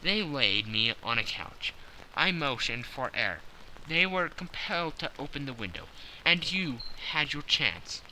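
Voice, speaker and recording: A man voicing a confident, analytical gentleman detective who explains things precisely and with self-satisfaction in an audiobook. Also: mid-range voice, sing-song, measured pace, a little hiss or hum, full character voice, low-quality recording. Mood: self-satisfied, explaining. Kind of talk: audiobook character acting.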